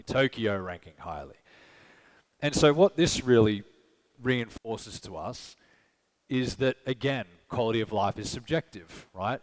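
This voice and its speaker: A man with an Australian accent giving a conversational conference talk, asking the audience questions and often checking in with "okay?" and "right?". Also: lowish voice. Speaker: conference speaker. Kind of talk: live keynote presentation. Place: conference stage.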